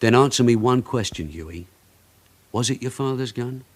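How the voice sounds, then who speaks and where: An actor playing a shrewd, calculating police sergeant in a radio drama, pressing and needling with controlled questions. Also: male voice, medium pitch, lively intonation, moderate pace, natural voice. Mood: pressing, menacing.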